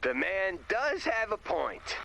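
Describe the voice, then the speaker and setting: A man's voice: high-pitched, sing-song, takes it slowly, vocal fry, noisy background, heavily acted. An actor playing an odd, nervous but earnest male sidekick in a video game, speaking hesitantly. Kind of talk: video game character voice acting.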